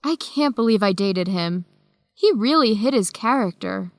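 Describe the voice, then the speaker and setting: A woman's voice: mid-range voice, lively intonation, measured pace, dry recording, natural voice. An actress playing a firm, principled young woman with a direct manner in an educational radio drama. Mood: hurt, searching. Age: young adult.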